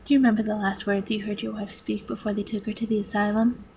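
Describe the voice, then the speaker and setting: A woman's voice: medium pitch, flat delivery, a little mellow, a little hiss or hum, close to an everyday voice. A woman playing a hateful, sadistic supercomputer in a video game monologue, taunting human captives with theatrical menace and drops into whispers. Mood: cruel taunting. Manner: theatrical, menacing, drawn-out delivery dropping into whispers.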